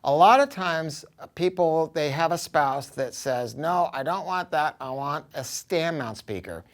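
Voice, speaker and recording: An American man giving an informal, off-the-cuff product pitch as a dealer, talking in an emphatic, slangy, salty way. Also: lively intonation, unhurried. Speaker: audio dealer presenting a product. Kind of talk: informal product review, off-the-cuff sales talk.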